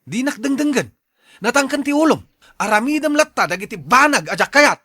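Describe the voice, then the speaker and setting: A man's voice: very high-pitched, lively intonation, a little fast, bright, forceful tone, dry recording, studio quality, heavily acted. A man playing a hard-headed, resentful husband and son in an Ilocano radio drama, with a combative, sneering manner. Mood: angry, defiant.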